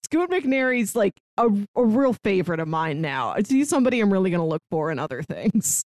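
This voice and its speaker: A woman's voice: medium pitch, sing-song, a little fast, darkish tone, no room sound, studio quality. A woman co-hosting a podcast, talking in a chatty, enthusiastic way in a casual review conversation. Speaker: podcast co-host.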